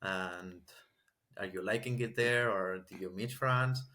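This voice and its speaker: A man's voice: low voice, unhurried, pushed and bright. A man chatting casually and asking questions as a guest interviewer on a podcast. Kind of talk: casual friendly interview chat.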